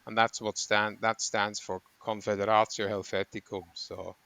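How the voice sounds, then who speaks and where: Swiss man, bright crisp voice. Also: lowish voice, flat delivery, moderate pace, dry recording. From Switzerland. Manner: rambling, self-correcting explanations with frequent ums. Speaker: guest, running coach. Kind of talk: conversational interview.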